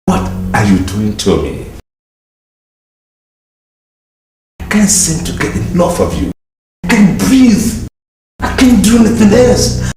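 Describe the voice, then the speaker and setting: An actor playing an obsessive, menacing male lover who swings from soft crooning to shouting. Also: on the low side, very animated, moderate pace. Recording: echoey room, distant from mic, music behind.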